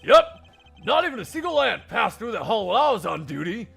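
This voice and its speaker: A man voicing an earnest, blustering police detective in a shouty, indignant manner for a game fandub. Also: sits high, very animated, fairly quick, creaky, slightly rough, soft background music, pitched above the performer's own voice, exaggerated voice. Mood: defensive, insistent. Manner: shouty, indignant, ends phrases with "pal". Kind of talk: video game fandub acting.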